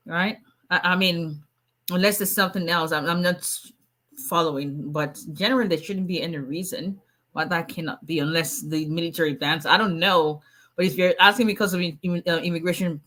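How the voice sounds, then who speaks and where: A middle-aged woman with a Nigerian accent, an immigration attorney answering viewer questions in a casual livestream, with a strong, loud voice. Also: fairly low pitch, normal speed. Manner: loud, strong voice, laughs often. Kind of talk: livestream Q&A reading viewer comments.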